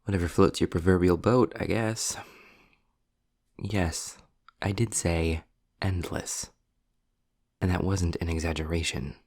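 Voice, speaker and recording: A man playing a sardonic, world-weary demon in an intimate roleplay audio drama, deliberate and breathy, often dropping toward a whisper. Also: deep voice, steady tone, darkish tone. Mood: dry, irritated. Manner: sarcastic, deliberate, sighing.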